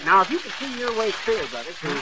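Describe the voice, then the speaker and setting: Bumbling husband for vintage radio comedy: sheepish, stammering, folksy schemer, under noisy vehicle rumble. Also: male voice, sing-song, very fast. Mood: wheedling. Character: hapless bumbling married man, embarrassed, fast-excusing schemer. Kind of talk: 1930s-40s radio comedy acting.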